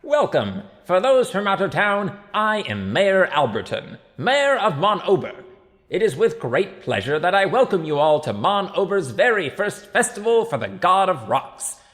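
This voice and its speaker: Man putting on a loud, excitable small-town mayor. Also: high-pitched, unhurried, big-room sound, pitched above the performer's own voice, exaggerated voice. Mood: proud, excited. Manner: loud, exclamatory public address. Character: jolly, bumbling small-town mayor giving a festival speech.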